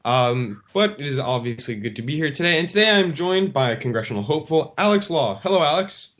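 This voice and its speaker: A man's voice: fairly high pitch, big pitch swings, brisk, fairly bright tone. A man hosting a radio talk show, asking loose, rambling questions in a casual conversational way. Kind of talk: casual interview chat.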